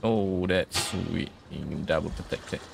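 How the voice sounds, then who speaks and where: Low, creaky-voiced man doing game commentary. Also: slow, loud music behind the voice. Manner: murmured thinking aloud, reads on-screen instructions. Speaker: gaming video host. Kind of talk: casual let's play commentary.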